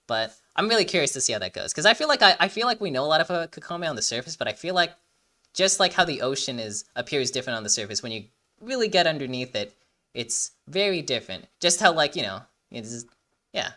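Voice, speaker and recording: A man's voice: on the high side, very animated, quick pace, pushed and bright. A young man giving casual, rambling, emphatic commentary as a reaction video host.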